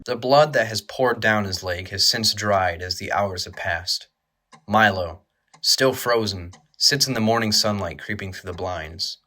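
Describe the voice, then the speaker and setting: A man narrates a horror audio drama in a dramatic, measured storytelling style. His voice is on the low side, with a bright, tense edge.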